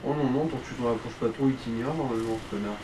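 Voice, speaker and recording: A man's voice: medium pitch, very fast, darkish tone, distant mic, slight background noise, low-quality recording. A man chatting casually as co-commentator on a video game, giving colloquial advice to the player. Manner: colloquial, advising. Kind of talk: casual gaming chat.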